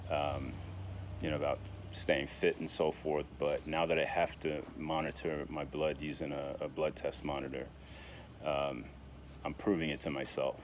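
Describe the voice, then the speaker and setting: Very deep-voiced man in his sixties. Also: noisy background. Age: 60s. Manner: halting, many fillers and restarts. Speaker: vlogger. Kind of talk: casual vlog monologue.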